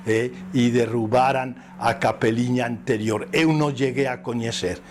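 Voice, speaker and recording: An older man, a priest, speaking Portuguese with a Spanish accent, recalling the past slowly with hesitant pauses and fillers. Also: medium pitch, steady tone, darkish tone, slight background noise. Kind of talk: interview recollection.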